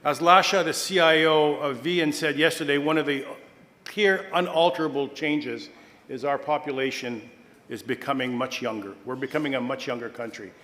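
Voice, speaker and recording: A middle-aged man from Kenya moderating a conference panel, formal and measured, with frequent fillers and self-corrections. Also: on the high side, fairly even intonation, fairly bright tone. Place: large conference hall. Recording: long hall reverb.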